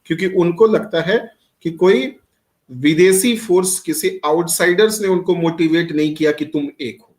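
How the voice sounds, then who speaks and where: A man's voice: a little high, normal speed, a little mellow. A middle-aged man from Bihar teaching a class, switching between Hindi and English, asking rhetorical questions and calling on students by name. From Bihar, India. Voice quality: speaks Hindi with much English mixed in, quizzes students by name. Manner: asks rhetorical questions and answers them himself. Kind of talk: classroom lecture.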